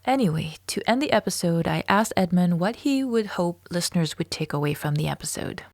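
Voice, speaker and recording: A woman's voice: on the low side, animated, a little fast, darkish tone, no room sound, clean studio sound. A woman hosting a podcast, giving reflective commentary addressed straight to the listener. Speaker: podcast host.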